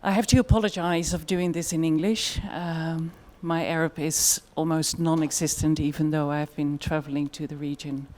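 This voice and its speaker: A woman's voice: sits low, steady tone, moderate pace, few pauses, darkish tone. A woman with a Dutch accent giving a conference presentation in a thoughtful way, with frequent fillers. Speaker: conference speaker.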